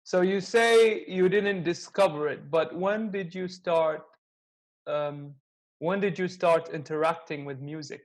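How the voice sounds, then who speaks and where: A man's voice: on the high side, a little slow. A young man hosting a casual interview, friendly and joking, laughing easily with his guest. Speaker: interview host.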